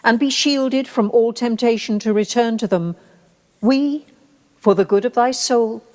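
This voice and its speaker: A woman's voice: on the high side, a little slow, darkish tone, natural voice. A woman playing a solemn, authoritative church judge in a radio play, with grave and formal delivery. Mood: solemn judgment. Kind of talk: radio play acting, formal archaic speech. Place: actor's home, live remote recording.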